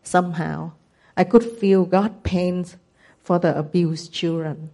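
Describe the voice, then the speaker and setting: A woman in her sixties with a Vietnamese accent, a missionary giving a testimony presentation with careful, measured non-native phrasing. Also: fairly low pitch, steady tone, dark tone. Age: 60s.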